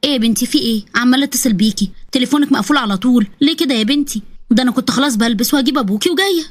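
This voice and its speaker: A woman's voice: fairly high pitch, racing, close to an everyday voice. A woman voicing a caring middle-aged mother on the phone with an Egyptian Arabic accent, coaxing and anxious.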